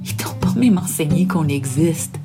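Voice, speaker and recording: A woman from Alberta with an Alberta farm French accent, reciting bilingual spoken word poetry in a slow, emphatic, defiant way. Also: low voice, animated. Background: guitar music.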